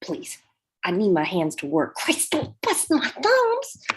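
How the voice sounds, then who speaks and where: Woman doing a scared broke dock guy begging, whiny and husky, pitch jumps around. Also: huge pitch swings, slow, heavily acted. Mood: panicked begging. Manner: whining, pleading, wheedling. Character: frightened, pleading male dockworker in debt. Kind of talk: table read of a film script.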